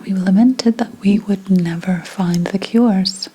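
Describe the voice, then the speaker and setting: A woman playing a reincarnated seventeenth-century witch in an ASMR roleplay, telling her story in a hushed, deliberate, mournful whisper. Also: mid-range voice, breathy edge. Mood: mournful, secretive.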